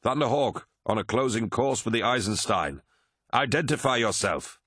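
A man's voice: medium pitch, expressive, normal speed, a bit bright, breathy edge, dry recording, clean studio sound, voice pushed up. A man acting a wary, commanding warrior captain. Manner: questioning, commanding. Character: startled, wary male warrior captain, disbelieving officer. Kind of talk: dramatic audiobook character reading.

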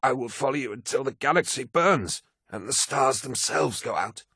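Guy doing a raspy servile aide for an audiobook, pitched up, swoopy, devoted and fervent, very dry recording. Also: lively intonation, normal speed, sharp tone, no room sound, heavily acted. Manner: deferential, fervent, formal address. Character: devoted frail male equerry, reverent and servile.

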